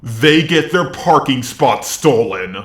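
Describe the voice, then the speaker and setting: A man's voice: mid-range voice, expressive, slow pace, a bit bright. A man giving informal review commentary with wry, joking asides. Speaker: video reviewer. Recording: roomy, echoey sound.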